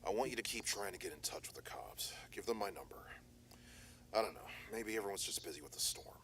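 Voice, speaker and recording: A man playing a calm, methodical male private detective in an analog horror audio drama, speaking in a measured, formal, reassuring way. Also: lowish voice, slight background noise. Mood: steady.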